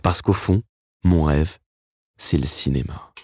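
A man, narrating his own story from a script in a wry, slangy way with dramatic pauses. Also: very deep voice, dark tone, breathy edge, dry recording, studio quality. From Paris, France. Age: 30s. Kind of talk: scripted first-person narration.

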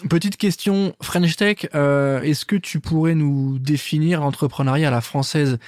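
A man hosting a podcast, asking questions in a relaxed, conversational way with hesitant fillers. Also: fairly high pitch, a little slow, dry recording, clean studio sound. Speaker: podcast host, interviewer. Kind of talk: interview questions, casual.

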